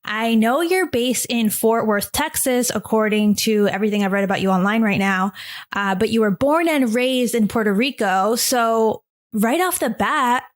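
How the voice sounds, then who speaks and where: Female podcast host and interviewer, fairly high voice, chatty and informal delivery. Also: moderate pace. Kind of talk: scripted podcast intro and casual interview chat.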